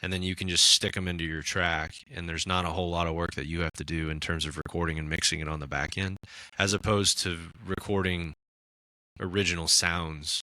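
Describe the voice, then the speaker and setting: A raspy-voiced man in casual podcast chat, talking about his music with lots of fillers and restarts. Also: sits low, little pitch movement, fairly quick, pushed and bright, no echo. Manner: rambling, many fillers and restarts. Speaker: musician guest.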